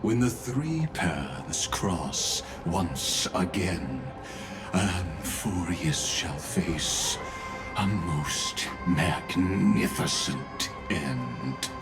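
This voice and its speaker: A sinister scholar from a fantasy game with an extremely low voice. He speaks very slowly, with theatrical courtesy and amused condescension, savoring his eloquent phrasing. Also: lively intonation, loud music behind the voice, heavily acted. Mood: grandiose, ominous. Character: sinister, eloquent, amused male scholar-schemer of a doomed world. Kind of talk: English game dub voice acting.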